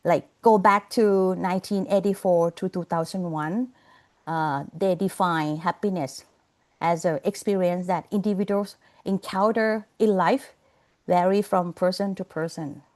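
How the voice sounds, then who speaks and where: A woman's voice: fairly low pitch, steady tone, measured pace, a little mellow. A woman giving an online class over a video call, chatty and laughing often, checking in with "okay?" and "you know". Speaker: lecturer.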